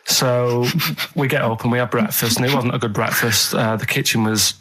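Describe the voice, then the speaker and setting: A man's voice: medium pitch, steady tone, moderate pace, bright, forceful tone, rough recording. Blunt man telling rambling stories. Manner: blunt, plain-spoken, colloquial. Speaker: radio show regular and producer. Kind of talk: casual rambling anecdote.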